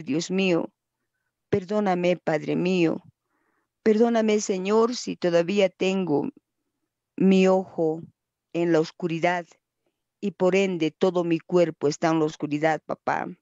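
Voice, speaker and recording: A woman praying aloud in an earnest, pleading way, often fading softly at the end of each phrase. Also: on the low side, no room sound, muffled recording. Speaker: person praying. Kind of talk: spontaneous devotional prayer.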